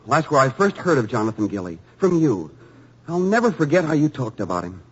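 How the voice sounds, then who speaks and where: A stammering, earnest young author. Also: male voice, mid-range voice, sing-song, fairly quick, husky. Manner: earnest, stammering with nervous eagerness. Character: young male author, eager, earnest and humble. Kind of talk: 1950s radio drama acting. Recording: dull lo-fi old radio broadcast.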